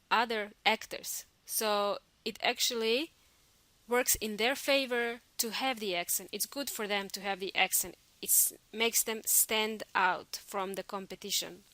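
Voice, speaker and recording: A woman's voice: medium pitch, pushed and bright, no room sound. A woman with a Spanish accent, an English teacher talking through a podcast lesson in a chatty, encouraging, unscripted way with fillers and restarts.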